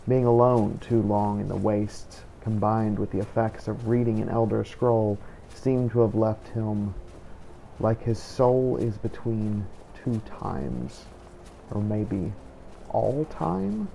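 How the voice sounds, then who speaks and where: Wry, weary male vampire hunter for roleplay game narration, acted by a man: dark, mellow tone, deliberate and unhurried, faint noise behind. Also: on the low side, expressive, vocal fry, full character voice. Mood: grave, pondering.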